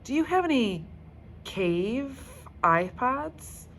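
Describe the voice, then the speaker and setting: An eager, curious schoolgirl, acted out by a woman reading a storybook. The voice is high and swoops about as she shows off in careful, drawn-out words. There is faint noise behind. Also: big pitch swings, full character voice. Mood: eager, polite. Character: eager, curious third-grade girl showing off.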